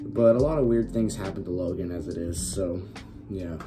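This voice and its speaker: A young man with a raspy, worn-out voice, chatting casually as host of a reaction video, filling his talk with "like" and laughing often. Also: sits low, moderate pace, dark tone, soft background music. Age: young adult. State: raspy, losing his voice from shouting. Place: small bedroom with LED lights.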